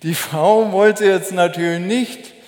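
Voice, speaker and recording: A man preaching a sermon as a preacher, in a measured, deliberate, explanatory way. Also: high-pitched, darkish tone. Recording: echoey hall with long reverb.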